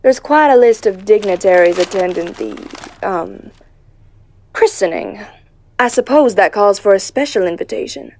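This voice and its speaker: A woman voicing a poised, wry female cat who runs a speakeasy, genteel and unhurried, in a comic dub. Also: mid-range voice, animated, darkish tone. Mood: sly, poised. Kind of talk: animated comic dub acting.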